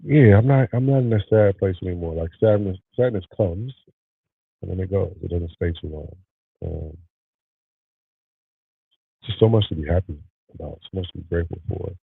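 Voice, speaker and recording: A deep-voiced man with a gravelly, husky voice chats easily with his live viewers. He sounds tired and stuffed up with a cold. The sound is dry and narrow, like a phone line. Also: moderate pace, long pauses, no room sound. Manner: relaxed, talks to live viewers. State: nasally congested. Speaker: podcast host. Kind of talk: casual livestream chat. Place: home studio.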